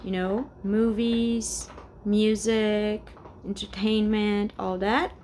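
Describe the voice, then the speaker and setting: Slow, halting Brazilian woman in her forties. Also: mid-range voice, flat delivery, darkish tone, slight background noise. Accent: Brazilian Portuguese. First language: Portuguese. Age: 40s. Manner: halting, hesitant, searching for words. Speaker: vlogger. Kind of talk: rambling personal vlog. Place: home, indoors.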